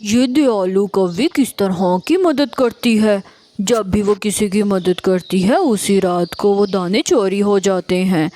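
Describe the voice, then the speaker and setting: A woman's voice: mid-range voice, slow pace, dark tone, voice pushed down, natural voice. A woman voicing a shrewd, suspicious pigeon set on exposing thieves in a cartoon story. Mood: suspicious, resolute.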